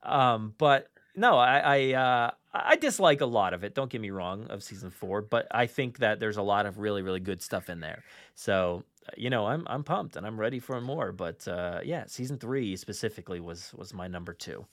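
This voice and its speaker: Man, casual podcast host, animated, enthusiastic, rambling conversational delivery, close dry sound. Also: fairly low pitch, moderate pace, dry recording. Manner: animated, filler-heavy, acts out his own reactions.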